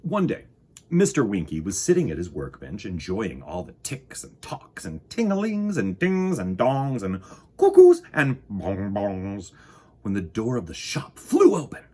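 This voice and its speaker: A man reads a children's story with a hugely varied, swooping pitch and a husky edge. His delivery is theatrical and deliberate, made for storytime. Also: wildly swooping pitch, mellow. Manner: dramatic, deliberate, with sound effects and exclamations. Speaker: storyteller reading a children's picture book.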